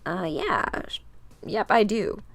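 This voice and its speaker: A woman's voice: medium pitch, takes it slowly, dry recording. A woman voicing a cocky male villain's henchman in a comic book reading, slangy, mocking and menacing. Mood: mocking menace.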